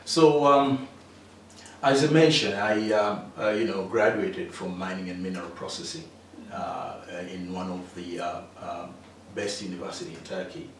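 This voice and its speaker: A man's voice: low voice, steady tone, normal speed. A middle-aged man from Tanzania answering interview questions about his engineering career, with many fillers and restarts. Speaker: interview guest, mining engineer. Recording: echoey room, distant mic, faint noise.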